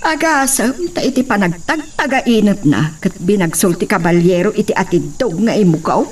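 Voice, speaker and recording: A woman's voice: medium pitch, expressive, normal speed, breathy edge, distant mic. A woman acting as a fretful, watchful woman of the household in a radio drama, chatty in manner.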